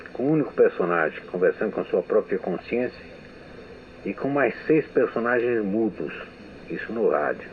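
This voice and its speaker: Older male radio veteran, measured reflective delivery; thin phone-like archival sound. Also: medium pitch. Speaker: radio writer giving a testimony. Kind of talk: memoir reading. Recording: tinny lo-fi archival audio with hiss.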